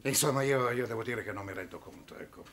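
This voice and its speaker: An actor playing a pompous, irritable middle-aged landowner in a 1960s television drama, blustering and pedantic. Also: male voice, mid-range voice, quick pace, fairly bright tone, lightly acted. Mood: indignant, sceptical. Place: studio set of a furnished room. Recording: noisy old television soundtrack.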